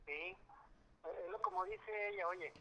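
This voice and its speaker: A man's voice: high voice, normal speed, heavy background noise. A middle-aged man, talking casually as a father over a tinny telephone line. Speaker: streamer's father. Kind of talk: casual phone conversation.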